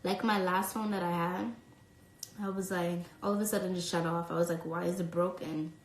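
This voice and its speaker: A woman's voice: on the low side, flat delivery, moderate pace, roomy sound, rough recording. A young woman from New York with a light New York accent, chatting casually while answering fan questions on a phone livestream, full of likes and ums. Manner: chatty, lots of "like" and "um", reads comments aloud. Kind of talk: casual live Q&A. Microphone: phone at arm's length.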